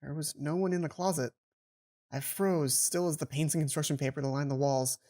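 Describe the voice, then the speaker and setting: A man's voice: fairly high pitch, fairly even intonation, a little fast, mellow. A young man hosting a let's play, reading a visual novel aloud and adding casual commentary. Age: young adult. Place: small indoor home room. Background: game music.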